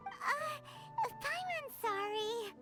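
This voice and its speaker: A woman's voice: extremely high pitch, lively intonation, drawn-out words, full character voice. A woman voicing a tiny, cheeky, childlike flying fairy companion in a video game, with music behind her. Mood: sheepish. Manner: speaks of herself in third person, whiny. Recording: loud background music.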